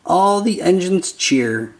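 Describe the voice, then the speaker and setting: Slow storybook reader for little kids, voice goes up and down a lot, simple short phrases. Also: mid-range voice, animated. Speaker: children's book narrator. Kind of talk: read-aloud picture book narration.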